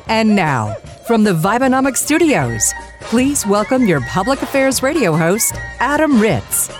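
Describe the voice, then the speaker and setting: A woman announcing the opening of a radio show in a polished, scripted announcer delivery. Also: medium pitch. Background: music bed.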